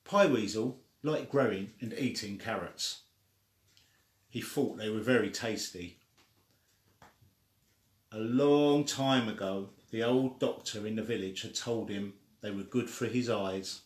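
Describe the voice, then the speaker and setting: A man's voice: fairly low pitch, unhurried. A man reading a children's story aloud in an informal, homespun way. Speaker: story reader. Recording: echoey room.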